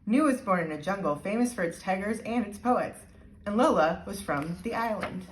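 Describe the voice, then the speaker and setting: A woman reading a children's storybook aloud with deliberate, expressive emphasis. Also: medium pitch, slight background noise. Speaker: storybook reader. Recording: roomy, echoey sound.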